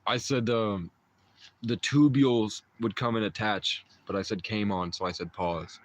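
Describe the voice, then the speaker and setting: A man's voice: lowish voice, steady tone, a little slow. A man in his twenties, a livestream host, chatting and rambling with viewers in a meandering, mystical way, full of restarts and short chuckles. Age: 20s.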